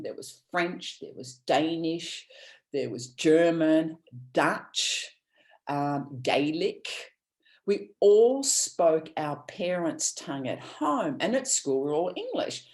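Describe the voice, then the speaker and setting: A woman's voice: low voice, a little mellow. A woman from Australia, giving an earnest, rambling motivational talk with many restarts over a video call. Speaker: guest speaker.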